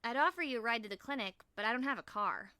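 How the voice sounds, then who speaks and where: Woman playing a bright-voiced, guarded young woman. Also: a little high, animated, fast, clear, resonant tone, no echo. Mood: polite, guarded. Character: guarded, restless young woman, newcomer in town.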